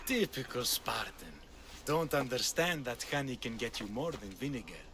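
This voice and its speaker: An actor playing a proud, wry trainer of fighters in a video game, measured and dryly humorous. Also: male voice, mid-range voice, expressive, bright, forceful tone, slight background noise. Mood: dryly amused. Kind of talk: video game character acting.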